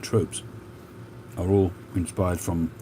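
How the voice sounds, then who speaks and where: A very deep-voiced man with a mixed Cockney and North London accent talks at an easy, meandering pace on a live stream. Traffic and street noise can be clearly heard behind his voice. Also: little pitch movement, dark tone. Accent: London, Cockney with a North London mix. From England. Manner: slow, unhurried, with many filler pauses. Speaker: livestream host. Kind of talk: relaxed live chat.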